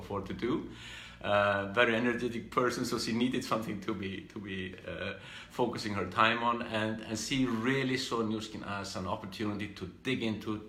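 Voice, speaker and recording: A middle-aged Icelandic man with a fairly low, bright, forceful voice speaks informally in a roomy, echoing space. His English carries an Icelandic accent, and he moves haltingly through his recollections, often repeating a word before going on. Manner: halting, with repeated words and restarts. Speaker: speaker giving a business training talk. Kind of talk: informal live talk, personal recollections.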